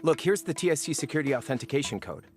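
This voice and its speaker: A man's voice: a little high, animated, rapid-fire, over music, close to an everyday voice. An actor playing a timid, geeky young male data analyst in a video game, eager and secretive.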